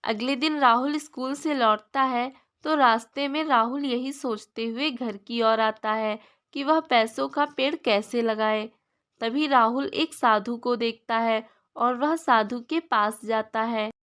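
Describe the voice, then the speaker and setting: A woman narrates a children's story from a script. Her voice is somewhat high in pitch, and the delivery is that of a storyteller for cartoon tales. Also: takes it slowly, a bit bright.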